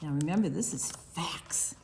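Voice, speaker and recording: A woman reading a picture book aloud for preschoolers, expressively and deliberately, with quiet asides to the young listeners. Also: sits low, vocal fry. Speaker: children's librarian hosting online storytime.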